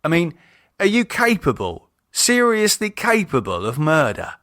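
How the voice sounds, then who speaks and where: A man's voice: a little high, wildly swooping pitch, a little slow, a bit bright, no echo, clean studio sound, voice pushed up, full character voice. Man acting a sceptical, sardonic English brother. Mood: incredulous, appalled. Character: sullen, sceptical English brother, former army medic. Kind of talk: audiobook character acting.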